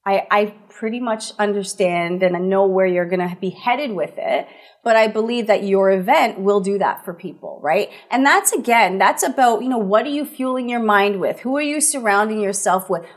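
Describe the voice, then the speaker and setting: A woman's voice: medium pitch, moderate pace. A woman guest in an interview talking earnestly and emphatically, posing rhetorical questions as she shares motivational ideas.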